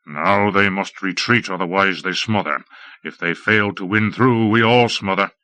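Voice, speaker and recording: A man voicing a grim, gloomy man who speaks low with heavy sarcasm. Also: moderate pace, pushed and bright, no room sound. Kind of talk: audiobook character voice.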